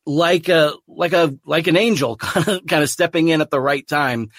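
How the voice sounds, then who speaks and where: A man's voice: medium pitch, no room sound, clean studio sound. A man hosting his podcast, talking earnestly and rambling a bit, with restarts and easy laughter. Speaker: podcast host. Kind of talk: casual podcast chat.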